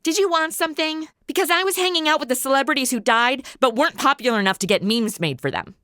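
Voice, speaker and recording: A woman's voice: sits high, animated, quick pace, sharp tone, no echo, studio quality. A woman playing a flippant, chatty, clueless apprentice angel in an audio comedy, talking in casual slang.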